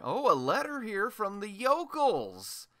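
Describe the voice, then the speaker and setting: A man's voice: high-pitched, huge pitch swings, slow, fairly bright tone, full character voice. A man playing a chatty, wry radio host in a superhero city, telling scripted comic stories in a colloquial, theatrical way for a radio play. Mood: casual, chatty.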